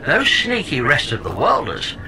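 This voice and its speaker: A man's voice: medium pitch, huge pitch swings, moderate pace, noisy background. Man as a wry robot sidekick, wildly swooping voice. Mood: gleeful explaining. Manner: wry, wordy explaining with dramatic flourishes. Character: chatty, wry robot pod sidekick, smug and theatrical. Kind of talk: comedy audio drama acting.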